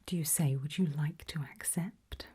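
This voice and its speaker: A woman in an ASMR roleplay voicing a polite, quietly menacing HR interviewer in a breathy, deliberate whisper. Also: low voice. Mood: calm, veiled menace. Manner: slow, deliberate, softly spoken.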